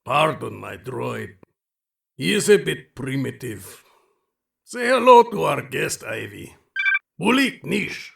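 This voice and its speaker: Gravelly, courtly alien aristocrat. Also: male voice, medium pitch, sing-song, background noise, heavily acted. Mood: indulgent. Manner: formal, courtly, deliberate, theatrical. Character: towering male reptilian alien aristocrat, genteel, sinister hunting host. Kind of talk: space adventure audio drama acting.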